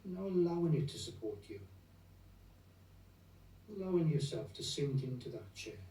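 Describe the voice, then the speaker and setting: A man leading a guided meditation in a gentle, unhurried, soothing manner, leaving long pauses between phrases. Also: medium pitch, expressive. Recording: roomy echo, distant from the mic.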